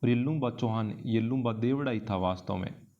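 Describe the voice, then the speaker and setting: A man's voice: medium pitch, a little fast, muffled recording. A man with an Indian accent teaching an exam-prep lesson in question-and-answer form, didactically repeating key phrases for emphasis. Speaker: history teacher.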